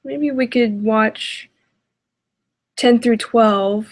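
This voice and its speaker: Slow-paced, hesitant woman reacting casually. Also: a little high, fairly even intonation. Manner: hesitant, self-deprecating. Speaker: reaction video host.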